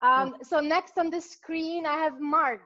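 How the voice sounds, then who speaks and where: A woman's voice: sits high, steady tone, measured pace, pushed and bright. A woman hosting an online cooking class from her kitchen, laughing often and restarting her sentences. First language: Italian. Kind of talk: video call group chat. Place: home kitchen. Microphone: video call laptop mic. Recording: dull lo-fi video call audio.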